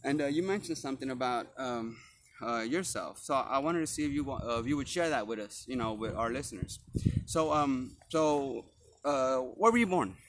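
A man's voice: medium pitch, moderate pace, a little hiss or hum. Laid-back man hosting outdoors. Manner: relaxed, filler-heavy, friendly. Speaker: podcast host. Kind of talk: casual interview. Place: outdoors in a park.

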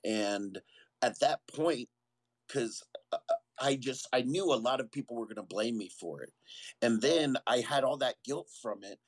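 A man's voice: medium pitch, no room sound. Candid male guest, dry close sound. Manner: candid, earnest, halting with restarts. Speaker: guest, former Marine. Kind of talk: personal storytelling in an interview.

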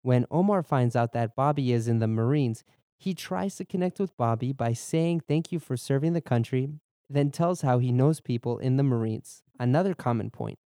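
A man's voice: medium pitch, mellow, no room sound, studio quality. A young adult man, born in Germany and raised in Boston, explaining phrases in Japanese and English as a podcast language teacher. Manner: clear, measured explanations, slows down for repeat drills. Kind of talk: bilingual lesson narration, Japanese and English.

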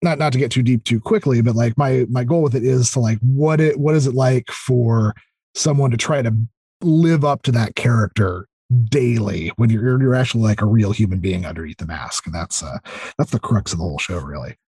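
Male interview guest, thirties, rough-edged husky tone, very dry sound, eager but halting explainer. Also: mid-range voice, dark tone, no room sound. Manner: halting, filler-heavy, enthusiastic explaining. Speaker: podcast guest, audio drama creator. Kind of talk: casual interview chat.

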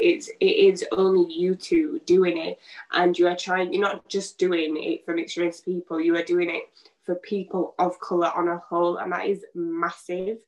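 A woman's voice: fairly low pitch, low-quality recording. A woman hosting a casual podcast, asking long, searching, rambling questions. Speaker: podcast host.

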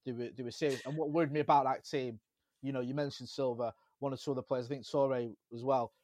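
A man with a Manchester accent chatting on a football podcast and telling lively stories about his days as a local radio reporter. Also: mid-range voice, fast, no echo, studio quality. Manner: animated storyteller, jumps into mimicry of fans. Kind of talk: casual football podcast chat.

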